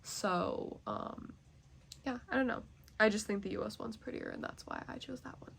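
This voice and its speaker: A woman's voice: mid-range voice, wildly swooping pitch, moderate pace, gravelly, a little hiss or hum. A young woman chatting casually as a book vlogger, nasally congested and rambling with many fillers. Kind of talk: casual chat.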